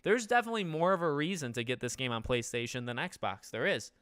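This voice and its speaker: A man's voice: medium pitch, animated, fast, fairly bright tone, clean tone, dry recording. Nasal young man chatting on stream. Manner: conversational, rambling with restarts, asks chat questions. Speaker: gaming YouTuber streaming host. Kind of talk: casual live-stream chat reading comments. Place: living room with couch behind.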